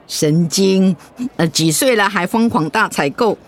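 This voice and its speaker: An actress playing a gentle, hesitant middle-aged mother in a radio drama. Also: mid-range voice, unhurried, lightly acted. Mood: hesitant, worried. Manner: gentle, hesitant, conversational with soft fillers.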